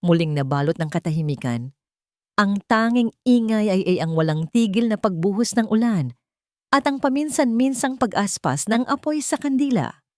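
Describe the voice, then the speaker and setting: A woman's voice: mid-range voice, expressive, normal speed, a little mellow, no echo, studio quality. A woman with a Filipino accent narrating a dramatic scripted love story.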